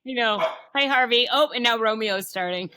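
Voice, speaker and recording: Big-voiced, direct woman with a bright tone. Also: a little high, normal speed, clear, resonant tone. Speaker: guest, tech founder and author. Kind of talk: casual interview conversation.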